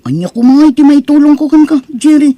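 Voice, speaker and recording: A woman's voice: high voice, animated, fast, mellow, no echo. A woman acting a confident male friend who recruits and tests, in a persuasive radio-style drama manner.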